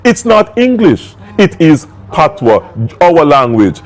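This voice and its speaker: A man from Jamaica with a Jamaican accent, giving a measured, earnest presentation and reading passages. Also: fairly high pitch, sing-song. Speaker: Bible translation spokesman.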